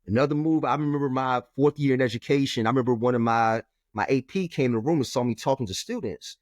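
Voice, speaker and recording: A man's voice: fast, dry recording, studio quality. A man, a math educator talking firmly and emphatically in an interview. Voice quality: low voice. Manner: firm, emphatic, repeats "we gotta". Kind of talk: conversational interview.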